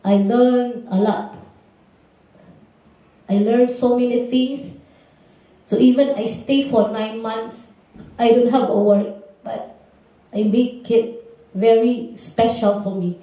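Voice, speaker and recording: Woman, slow and deliberate testimony; distant, very echoey hall. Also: fairly high pitch, steady tone, mellow. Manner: slow and deliberate, simple non-native phrasing. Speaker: panelist, former domestic worker. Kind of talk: prepared personal testimony. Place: large lecture hall. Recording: echoey lecture hall, far from mic.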